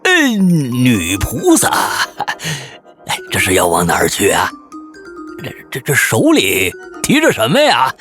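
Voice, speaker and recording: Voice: medium pitch, huge pitch swings, unhurried, a bit bright, soft background music, voice pushed down. A storyteller voicing a greedy, eager male pig monk with a bumbling, stammering, hearty manner, in an audio picture-book drama. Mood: flirtatious.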